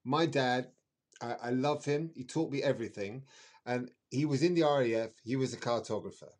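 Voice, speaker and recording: A man's voice: mid-range voice, a little fast, a little mellow. Theatrical middle-aged man, exuberant talker. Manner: exuberant, flowery, theatrical, emphatic. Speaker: astrologer guest. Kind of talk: chatty interview storytelling.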